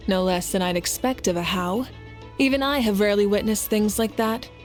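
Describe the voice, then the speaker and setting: A woman voicing a composed, dignified leader in a video game, with poised, measured and formal speech. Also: medium pitch, close to an everyday voice. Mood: dryly amused. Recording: faint music behind the voice.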